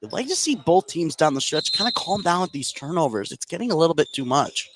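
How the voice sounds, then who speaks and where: A man's voice: fairly high pitch, sing-song, quick pace. A man giving casual, slangy live analysis as a basketball color commentator. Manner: informal, slangy. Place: indoor school basketball gym. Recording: crowded gym behind the voice. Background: crowd noise in gym.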